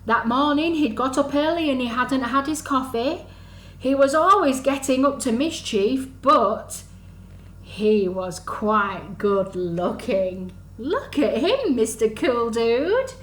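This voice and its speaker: Female children's storyteller, unhurried and sing-song, exaggerated delivery for under-fives, recorded in an echoey room. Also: on the high side, sing-song, fairly bright tone. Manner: sing-song, exaggerated, asks the listeners questions. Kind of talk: animated storytime reading to young children. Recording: echoey room, faint background noise.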